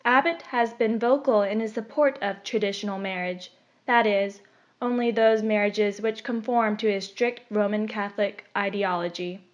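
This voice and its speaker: A woman reading a scripted opinion article aloud in a measured, formal delivery. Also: medium pitch. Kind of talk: scripted narration. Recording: dull, lo-fi recording.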